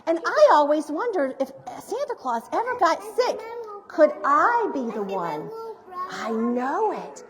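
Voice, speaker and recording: A woman in the role of a storyteller, gently and encouragingly addressing children during a read-aloud. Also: sits high, sing-song, unhurried, a crowd in the background. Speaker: storyteller playing Mrs. Claus. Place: large bright indoor room, seated before children. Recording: reverberant hall, recorded live.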